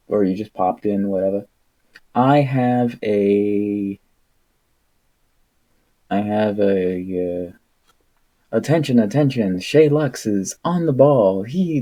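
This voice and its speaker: A man livestreaming a book reading in a casual, rambling, irreverent way, with a husky voice and an exasperated, sweary manner. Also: mid-range voice, warm tone. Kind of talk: casual rambling livestream with read-aloud passages.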